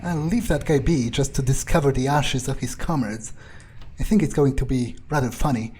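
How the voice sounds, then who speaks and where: A man's voice: medium pitch, moderate pace, a little mellow, slight background noise, close to an everyday voice. A man voicing a cocky, bloodthirsty newly turned vampire, thinking aloud in a profane, musing way during a video game roleplay. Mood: smug, musing. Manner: musing, arrogant, profane, hesitant pondering. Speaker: player character in a roleplay playthrough. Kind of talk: in-character video game playthrough commentary.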